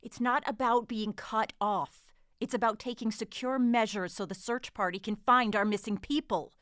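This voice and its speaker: A woman's voice: fairly high pitch, steady tone, normal speed, a bit bright, dry recording, clean studio sound, natural voice. A woman playing a frank, assertive police detective from the mainland in an audio drama. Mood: firm, assertive. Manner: assertive, blunt.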